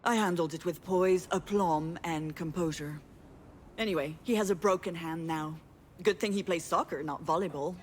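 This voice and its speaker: A woman's voice: on the low side, normal speed, slight background noise, natural voice. A woman playing a blunt, tough, hot-tempered sister in a visual novel, speaking in a direct, sardonic, confrontational way. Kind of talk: visual novel voice acting, dramatic dialogue.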